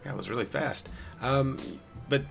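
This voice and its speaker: A man with a raspy, hoarse voice giving rambling, self-deprecating casual commentary as a gameplay video host. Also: mid-range voice, a little slow, faint music behind. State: hoarse from singing the night before.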